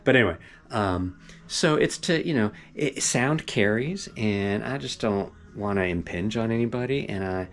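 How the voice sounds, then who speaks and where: Deep-voiced man rambling casually. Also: expressive, moderate pace. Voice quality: deep voice that carries. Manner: rambling, many fillers and restarts, chuckles often. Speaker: vlogger giving a property update. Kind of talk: casual rambling talk to camera. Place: home property in the Pacific Northwest forest.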